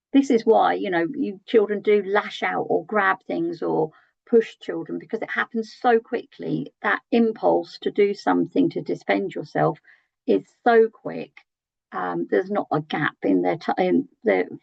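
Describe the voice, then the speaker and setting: A British woman with a croaky voice giving an early years training talk in a chatty way, with many tag questions and ums. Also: medium pitch, dry recording, highs cut off. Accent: British English. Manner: conversational, asks tag questions, frequent ums.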